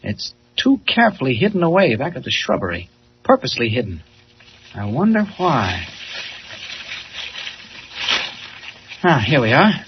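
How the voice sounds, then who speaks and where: An actor playing a courteous, eager newspaper reporter who is secretly a superhero, in a 1940s style radio drama. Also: male voice, medium pitch, huge pitch swings, brisk. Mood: wary. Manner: polite, eager, attentive. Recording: muffled, hissy old radio recording.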